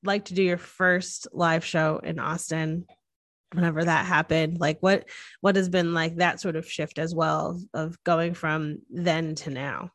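A woman with a slightly low voice you'd hear hosting a chatty interview podcast from a dry booth, encouraging her guest with meandering questions. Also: steady tone, unhurried, a bit bright, no room sound. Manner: informal, encouraging, rambling questions. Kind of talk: casual chat.

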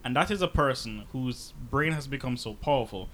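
Bright, playful man on a podcast. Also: mid-range voice, lively intonation, brisk. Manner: playful, jokey, eager to explain. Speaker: podcast co-host. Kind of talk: casual podcast chat.

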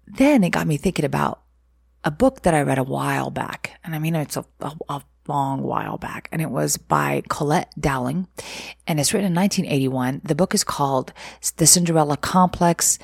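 A woman's voice: sits low, vocal fry, a little mellow, slightly rough, dry recording, studio quality. A woman from Egypt talking casually to her viewers, candid and opinionated, rambling with restarts, rhetorical questions and laughing asides. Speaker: vlogger.

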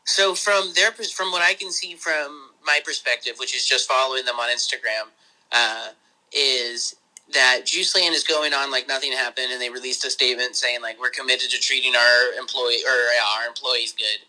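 A man, hosting a podcast, talking casually over a phone call in a rambling, excited way with some swearing. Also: moderate pace. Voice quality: high voice. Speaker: podcast host.

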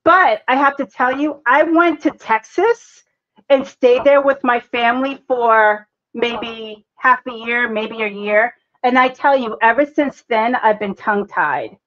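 A woman's voice: on the high side, a little slow, fairly bright tone. A woman from Boston with a Boston accent, hosting a casual livestream chat. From Boston, United States. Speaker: host. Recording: slightly dull, lo-fi audio.

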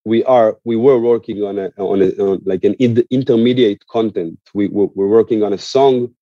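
A man speaking as an interview guest, explaining in an earnest, conversational way with halting, repeated words. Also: lowish voice, dry recording, highs cut off. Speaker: interview guest, musician and activist.

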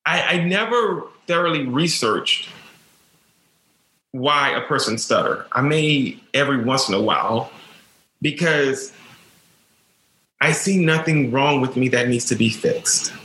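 A man's voice: medium pitch, takes it slowly, pauses often, bright, forceful tone, reverberant, rough recording. A man who is a teacher, telling his own story in an interview, earnest and stuttering, repeating words as he goes.

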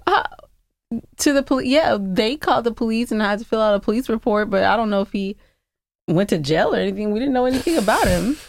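A woman's voice: fairly high pitch, expressive, quick pace, no echo. A woman co-hosting a podcast, telling stories in casual, playfully argumentative banter. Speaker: podcast co-host.

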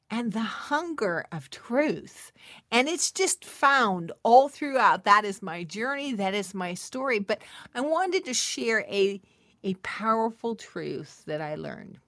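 Casting: middle-aged female inspirational speaker, unhurried delivery, emphatic testimonial style with intimate asides, dry close sound. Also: a little high, sing-song, fairly bright tone, no room sound. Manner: emphatic and testimonial, repeating phrases for weight; intimate, chuckling asides to the listener. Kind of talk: devotional talk addressed to listeners.

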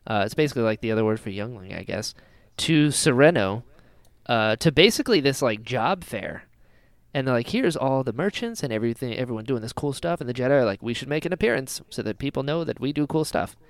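Casual podcast host, smooth clear voice, very lively intonation, dry close sound, acts out quips. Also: mid-range voice, fast, no room sound. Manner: animated, acts out imagined dialogue in quotes. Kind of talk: casual chat, pop-culture discussion.

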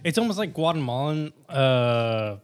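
A man's voice: mid-range voice, lively intonation, unhurried, faint music behind. A man in a casual chat among friends, rambling through an explanation with lots of fillers. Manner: rambling, explains things with many fillers and laughs.

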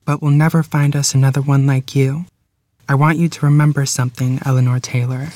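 A man playing a steady, kind woman bandleader in a table read, speaking in a measured, earnest way. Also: mid-range voice, no echo, clean studio sound, natural voice. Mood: earnest, tender.

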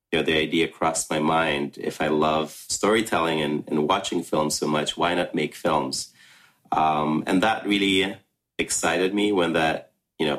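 A man in his thirties from Ghana, first language Russian, answering interview questions reflectively with many pauses and restarts. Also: a bass voice, a bit bright. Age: 30s. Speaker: filmmaker.

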